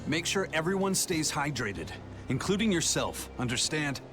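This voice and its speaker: An actor playing a responsible pirate ship's officer in a video game, commanding and matter-of-fact. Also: male voice, a little high, big pitch swings, moderate pace, music in the background. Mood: grave, urgent.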